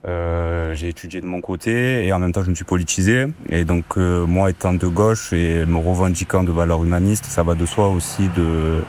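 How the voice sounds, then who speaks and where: Very low-voiced young man. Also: fairly even intonation, normal speed, hardly any pauses, darkish tone, a little hiss or hum. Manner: many fillers, earnest. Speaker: student guest. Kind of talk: casual interview.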